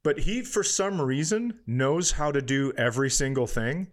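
A man's voice: a little high, normal speed. A man co-hosting a podcast, telling an everyday story casually, with swearing and quoted dialogue. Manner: profane, animated retelling with quoted dialogue. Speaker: podcast co-host.

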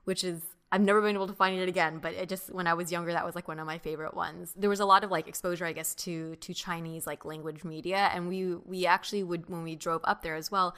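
A young woman from the United States, co-hosting a podcast and telling childhood stories in a relaxed, reflective way, with many fillers. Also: lowish voice, quick pace, hardly any pauses, clear, resonant tone. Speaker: podcast co-host. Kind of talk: casual chat.

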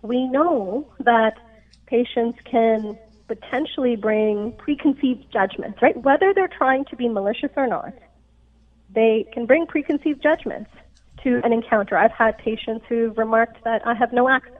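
A woman's voice: fairly high pitch, moderate pace. Woman doctor on a tinny phone line. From Pakistan. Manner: earnest, explanatory, frequent "right?" check-ins. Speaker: physician guest. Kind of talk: radio interview. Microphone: phone call.

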